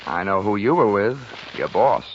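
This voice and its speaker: A man's voice: on the low side, lively intonation, moderate pace, slight background noise, lightly acted. A man acting a wry, wisecracking insurance investigator in a 1940s radio drama, clipped and hard-boiled. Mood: probing, wry. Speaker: lead actor and narrator of a radio detective drama. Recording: muffled 1940s radio recording with music.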